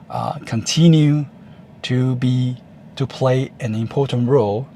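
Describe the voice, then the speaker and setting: A man from Taiwan with a Taiwanese accent and Chinese as his first language, speaking English slowly and thoughtfully to his viewers, pausing often with fillers. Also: mid-range voice, darkish tone, a little hiss or hum. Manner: measured, unhurried, with frequent pauses and fillers. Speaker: YouTuber. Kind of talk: casual talk to camera.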